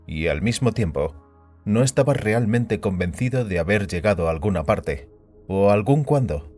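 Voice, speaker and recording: Low-voiced man narrating fiction over music. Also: animated, normal speed, a little mellow. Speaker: narrator and host of an audio fiction show. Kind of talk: scripted dramatic audiobook narration. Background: background music.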